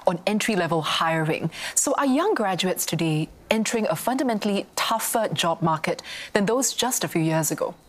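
A woman presenting a news report and interviewing a guest, with measured, clear delivery. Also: on the low side, animated. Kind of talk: scripted news reading and interviewing.

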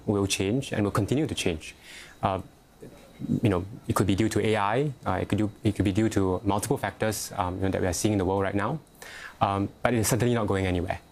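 A man's voice: on the low side, darkish tone. A young Singaporean man answering interview questions, hesitant, with many fillers and restarts. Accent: Singaporean English. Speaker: youth organisation head, interviewee. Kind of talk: news interview.

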